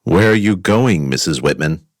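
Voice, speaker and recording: A woman's voice: rumbling low, lively intonation, normal speed, a little mellow, no echo, clean studio sound, pitched below the performer's own voice. A woman voicing a cold, domineering husband in an audiobook, cool, curt and menacing. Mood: cold, mocking. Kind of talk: audiobook character acting.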